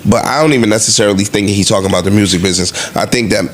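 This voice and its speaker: A man's voice: lowish voice, rapid-fire, a little hiss or hum. A man in casual podcast debate, explaining his view by laying out examples in everyday slang.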